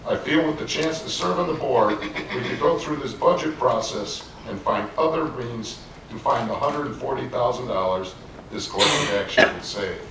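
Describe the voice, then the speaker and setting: A man running for village trustee, giving a measured, businesslike prepared statement at a public forum. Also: medium pitch, fairly bright tone. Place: community meeting room with an audience. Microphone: handheld microphone. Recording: very echoey distant room sound, faint room noise.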